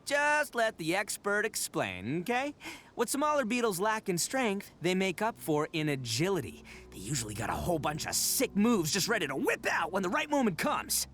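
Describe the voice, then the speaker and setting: An actor voicing a boisterous horned oni man, a cocky braggart with loud laughs. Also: sits high, huge pitch swings, normal speed, full character voice. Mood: bragging. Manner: boastful, loud laughs, brash. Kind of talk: game voice acting. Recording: game dialogue over music.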